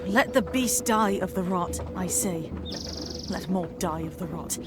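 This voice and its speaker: A woman's voice: lowish voice, measured pace, loud music behind the voice, natural voice. Cheeky, blunt young rabbit farmhand played by a woman. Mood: bitter, sly. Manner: teasing, sardonic. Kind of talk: visual novel voice acting.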